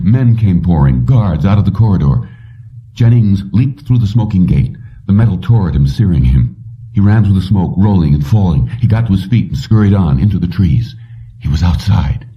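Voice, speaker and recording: A man reading science fiction prose aloud as a scripted audiobook narration. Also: deep voice. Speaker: audiobook reader. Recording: muffled, dull, distant-sounding.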